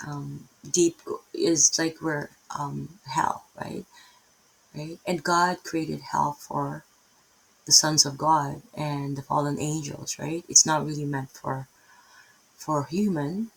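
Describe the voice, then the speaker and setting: Casting: middle-aged Filipino-accented woman, low gravelly voice, chatty self-interrupting Bible teacher. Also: fairly even intonation, takes it slowly, low-quality recording. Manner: rambling, self-interrupting, tags many lines with "right?". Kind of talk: casual unscripted Bible teaching.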